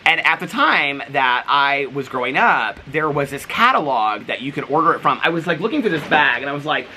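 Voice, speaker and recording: Male vlogger, sharp bright tone, chatty and gushing, loose rambling delivery, slight background noise. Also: on the high side, sing-song, brisk, poor audio. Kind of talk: casual, chatty vlog talk to viewers.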